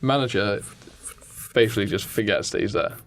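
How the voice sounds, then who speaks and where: A man's voice: lowish voice, fairly quick, a bit bright. A young British man co-hosting a podcast, improvising a comic story in casual chat and piecing it together hesitantly as he talks. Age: young adult. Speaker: podcast co-host. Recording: clear background noise.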